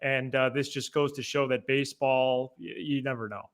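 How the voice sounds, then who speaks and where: A man co-hosting a sports podcast in casual commentary, halting and repeating words as he thinks. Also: medium pitch, steady tone.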